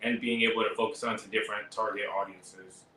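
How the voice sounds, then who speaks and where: A man's voice: on the low side, little pitch movement, a little fast, pushed and bright, echoey room, distant mic, low-quality recording. A man speaking as a wrestling guest in casual chat, hesitant and searching for words.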